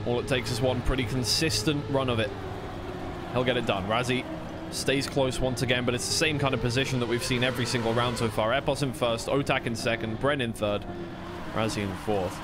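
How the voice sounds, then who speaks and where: Male esports caster, mid-pitched voice, informal and measured live racing commentary, clear engine noise behind him. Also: fairly even intonation. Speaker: esports commentator streaming a tournament. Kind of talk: live play-by-play commentary, casual and unscripted.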